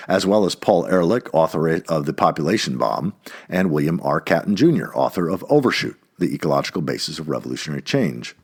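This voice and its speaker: A man reading an essay aloud in an emphatic way, stressing key words, with a husky voice. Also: deep voice. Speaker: reader of an essay. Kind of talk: read-aloud essay narration.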